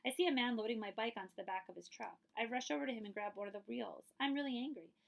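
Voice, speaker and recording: A woman reading a children's picture book aloud as a librarian, in an expressive, measured storytelling way. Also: on the high side, vocal fry, muffled recording.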